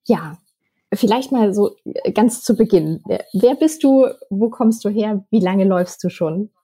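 Female interviewer, deep mellow husky tone, friendly, occasional hesitations. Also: measured pace. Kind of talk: podcast interview.

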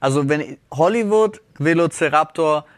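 A man's voice: fairly high pitch, moderate pace, no echo. A man co-hosting a podcast in casual chat, enthusiastic and agreeable. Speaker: podcast co-host.

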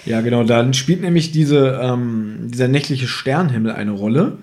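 A man's voice: mid-range voice, moderate pace, a little mellow. A man chatting casually as a podcast host, retelling and critiquing an audio drama in an informal, opinionated, teasing way.

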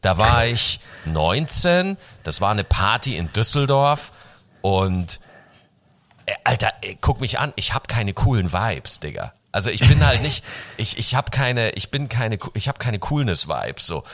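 A man's voice: lowish voice, very animated, measured pace, a bit bright. A young man hosting a casual podcast chat, jokey and slangy, with a cold. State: has a cold. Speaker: podcast host.

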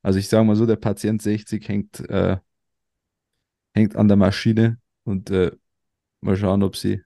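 A man co-hosting a casual podcast in a heavily gravelly, creaky voice. His tone is dark and flat, and his humour is dry and gloomy. He sounds close and dry on the mic. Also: fairly low pitch, unhurried, no room sound. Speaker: podcast co-host.

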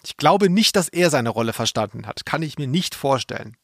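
A man's voice: medium pitch, lively intonation, a little fast, no room sound, clean studio sound. A man co-hosting a podcast with casual, joking commentary on audio plays. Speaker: podcast co-host.

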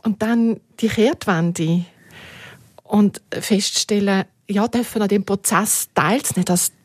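A woman's voice: medium pitch, slow pace, husky, no echo, clean studio sound. A middle-aged or older woman with a Swiss German accent, reminiscing conversationally and emphatically as an interview guest. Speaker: podcast guest, former radio editorial head.

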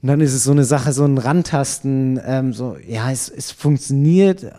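Brief: young adult man, podcast guest, dark mellow tone, laid-back and hesitant. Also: mid-range voice, normal speed. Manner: relaxed, many fillers, thinks aloud. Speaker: podcast guest, triathlon editor. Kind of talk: casual podcast conversation.

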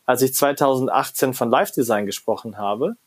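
Husky german professor guy, thinks out loud, keeps tagging "ja?" and "ne?". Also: mid-range voice, normal speed. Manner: reflective, animated, frequent "ja?" and "ne?" tags. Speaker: guest, professor of creativity and design. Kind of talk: conversational interview answers.

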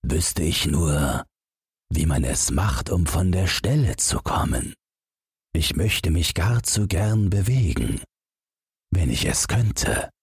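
Man as a slow, husky, naive snowman. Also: a bass voice, no echo, studio quality, full character voice. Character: naive newborn snowman, proud, puzzled and stubborn.

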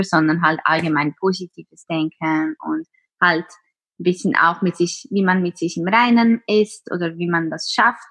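A woman in her twenties with a Swiss German accent, talking openly as a podcast guest, often pausing on filler sounds. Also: fairly low pitch, fairly bright tone, dry recording. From Switzerland. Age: 20s. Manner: open, many filler sounds. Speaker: podcast guest, teacher and blogger. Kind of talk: casual interview talk.

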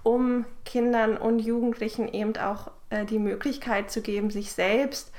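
A woman's voice: fairly high pitch, fairly even intonation, normal speed, a little mellow. A woman speaking as an academic expert, explaining in careful, scholarly wording.

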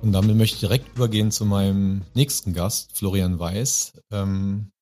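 A man's voice: on the low side, moderate pace, darkish tone, soft background music. A man hosting a podcast interview, moderating semi-spontaneously in wordy, roundabout sentences. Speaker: podcast host.

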